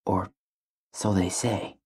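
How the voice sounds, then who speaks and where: A man playing a withdrawn, naive young teenage boy in a video game, with a soft, breathy voice and a subdued, wondering delivery. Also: deep voice, steady tone, slow, heavily acted. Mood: pensive, subdued. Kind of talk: introspective video game character monologue.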